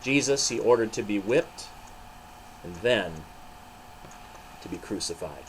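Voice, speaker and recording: A man's voice: on the low side, animated, unhurried, slight background noise, poor audio. A man, a pastor, reading a story and talking to children in a dramatic, emphatic way. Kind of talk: animated storybook narration and children's devotional.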